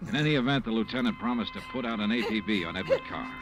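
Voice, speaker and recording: An actor playing a wry, hardboiled insurance investigator, narrating a vintage radio detective drama in a brisk, dry manner. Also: male voice, on the low side, music in the background, lightly acted. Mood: matter-of-fact. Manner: brisk, dry, matter-of-fact.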